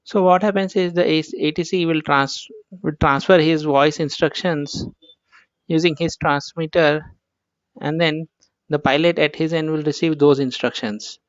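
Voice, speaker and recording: A man with an Indian accent teaches in a fairly high, smooth voice. He explains informally, one step at a time, circling back over his points. The recording is dry and a little dull. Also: steady tone, normal speed, no echo. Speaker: instructor.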